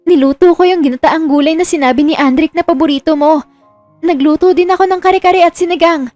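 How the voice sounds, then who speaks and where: A woman's voice: extremely high pitch, fast talker, a little mellow, no room sound, faint music behind, voice pushed up. A woman playing an eager, nervous young wife who speaks sweetly and coaxingly in a romance audio drama.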